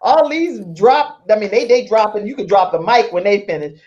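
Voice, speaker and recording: A woman hosting a talk show in an animated, conversational style, effusive and emphatic, repeating phrases for emphasis. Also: mid-range voice, normal speed, highs cut off.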